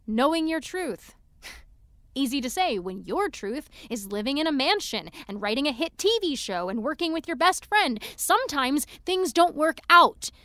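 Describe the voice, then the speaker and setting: A woman's voice: high-pitched, big pitch swings, moderate pace, bright, forceful tone, no echo, clean studio sound, exaggerated voice. An actress playing a resentful woman muttering to herself in naturalistic audio drama. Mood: bitter, mocking. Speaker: actor.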